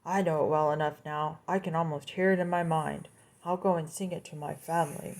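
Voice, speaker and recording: A woman's voice: low voice, fairly even intonation, a little fast, darkish tone. A woman voicing an eager, forgetful male coyote in a children's story, pleading and grumbling by turns.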